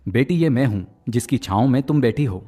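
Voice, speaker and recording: Guy doing a kind magic tree for a kids story, soft mellow fatherly voice, gentle and reassuring. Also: medium pitch, animated, fairly quick, natural voice. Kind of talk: children's moral story acting.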